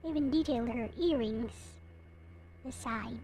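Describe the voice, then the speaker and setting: A woman's voice: on the high side, normal speed, mellow, slight background noise. A woman hosting a livestream, chatting casually to viewers and replying to their comments. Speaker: livestream host. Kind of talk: casual livestream chat showing collectible figures.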